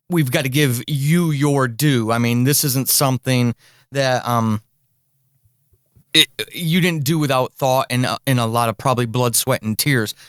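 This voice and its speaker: A man hosting a podcast interview, talking conversationally and deliberately as he works toward his questions. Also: medium pitch, clean tone, no echo, studio quality. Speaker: podcast host.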